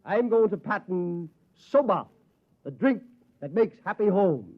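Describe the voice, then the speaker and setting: Casting: a pompous, wounded-dignity husband, highish voice, muffled vintage radio play. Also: male voice, lively intonation, a little slow. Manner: florid, mock-dignified. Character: pompous, suddenly sober, oily henpecked husband. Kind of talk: old-time radio acting. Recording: dull vintage radio drama recording.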